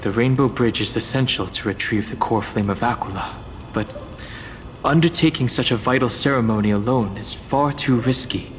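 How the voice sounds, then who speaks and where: A man voicing a serious, reserved young researcher and traveller in a video game, measured and understated. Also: mid-range voice, a little hiss or hum, close to an everyday voice. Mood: concerned. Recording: reverberant sound with music behind.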